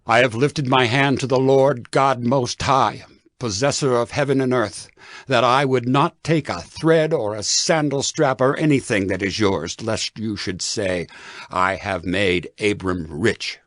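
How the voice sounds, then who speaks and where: A man's voice: medium pitch, a little slow, a bit bright, dry recording, studio quality, natural voice. A man voicing a resolute patriarch in a dramatized scripture reading, speaking firmly and solemnly. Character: resolute patriarch swearing an oath to a king.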